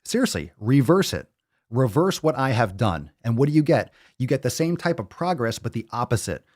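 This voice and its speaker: A man's voice: mid-range voice, big pitch swings, normal speed, darkish tone, no echo, clean studio sound. A man in his thirties hosting a fitness podcast, lecturing bluntly with plenty of swearing. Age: 30s. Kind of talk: ranting livestream talk.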